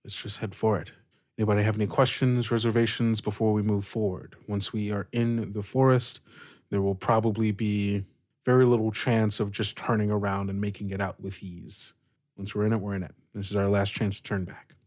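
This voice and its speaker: Male actual-play podcaster, lowish voice, measured and slightly hesitant, briefing a group in character. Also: level tone, darkish tone. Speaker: tabletop role-play podcast player or game master. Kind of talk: improvised in-character role-play.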